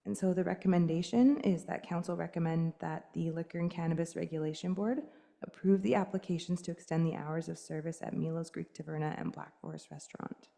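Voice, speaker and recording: A woman with a hoarse voice from a cold, reading a staff report as corporate officer at a council meeting. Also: lowish voice, steady tone, a little fast, mellow. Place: council chamber. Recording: long hall reverb.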